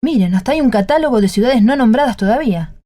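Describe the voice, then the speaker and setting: Actress as mellow, high-voiced wondering explorer. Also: female voice, lively intonation, fast, no echo, natural voice. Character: wondering female explorer describing rooms of maps. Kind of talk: literary radio drama acting.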